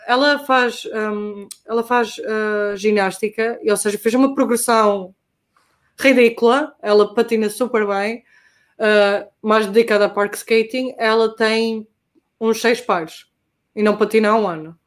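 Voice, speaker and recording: High-voiced young Portuguese woman chatting casually. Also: fairly even intonation, a little slow. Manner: informal, slangy, hesitant, mixing in English terms. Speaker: guest skater. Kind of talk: casual chat.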